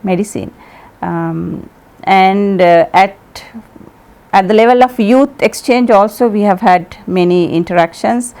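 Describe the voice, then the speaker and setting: Interview guest: woman from Sri Lanka, Sinhala-native, calm and diplomatic, relaxed warm tone, steady mid pitch. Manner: measured, diplomatic, frequent "uh" pauses. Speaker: ambassador being interviewed. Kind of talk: formal interview answers.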